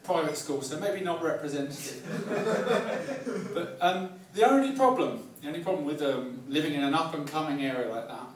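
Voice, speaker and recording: A man in his forties with a southern English accent, doing live stand-up comedy in a wry, self-deprecating way with halting, repeated phrases. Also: a little high, lively intonation. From Kent, England. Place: live comedy night in a pub venue. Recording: echoey club, distant mic, audience noise. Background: audience laughter.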